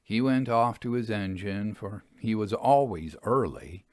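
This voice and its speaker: Low-voiced man narrating an old tale. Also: expressive, a little slow, no room sound. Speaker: storyteller, narrator. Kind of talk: dramatic audiobook narration of a Victorian ghost tale.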